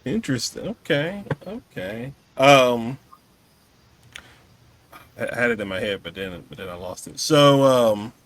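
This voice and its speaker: A man interviewing casually as a podcast host, haltingly rewording his questions as he goes. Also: medium pitch, lively intonation, fairly bright tone.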